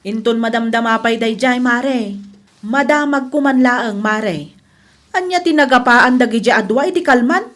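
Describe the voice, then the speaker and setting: A woman's voice: a little high, normal speed, a bit bright, close to an everyday voice. A woman playing a gossipy, amused neighbour friend in a radio comedy drama. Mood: curious, amused.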